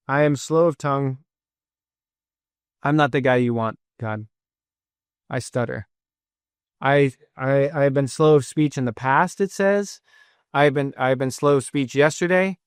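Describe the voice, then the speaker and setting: A man's voice: on the high side, clear, resonant tone, no room sound, studio quality. A man in his thirties, a pastor giving an earnest sermon with self-deprecating personal stories, sometimes retelling scripture in the first person.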